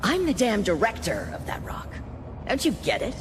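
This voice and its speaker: An actress playing a haughty, calculating corporate colony director in a video game, speaking in a condescending, persuasive, deliberate way. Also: female voice, mid-range voice, wildly swooping pitch, music in the background. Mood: exasperated. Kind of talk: dramatic video game cutscene acting.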